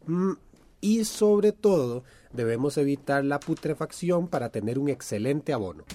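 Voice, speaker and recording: An actor playing a friendly environmental activist patiently explaining farming in a radio drama. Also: male voice, on the high side, expressive, moderate pace, lightly acted. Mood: friendly, instructive.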